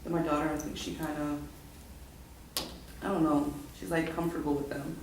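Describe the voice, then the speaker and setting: A woman sharing personal experience casually as a participant in a lecture, in a hesitant, halting manner. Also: low voice, flat delivery, darkish tone. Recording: echoey room, distant mic, clear noise.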